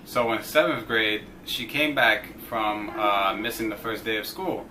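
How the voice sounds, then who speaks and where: A young man co-hosting a couple's vlog, telling the story in a relaxed, halting way full of "you know" and "uh". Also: lowish voice, a little hiss or hum, poor audio. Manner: laid-back, hesitant, lots of "you know" and "uh". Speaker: vlog co-host. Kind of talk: casual storytime chat.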